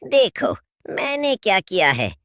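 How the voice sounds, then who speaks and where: A woman's voice: mid-range voice, huge pitch swings, a little slow, a bit bright, dry recording, studio quality, pitched below the performer's own voice. A woman voicing a sly, smug uncle bird in a children's cartoon.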